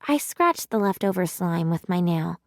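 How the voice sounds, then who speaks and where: A woman's voice: on the low side, lively intonation, moderate pace, no room sound, clean studio sound. A woman narrating a children's storybook in a scripted read-aloud style.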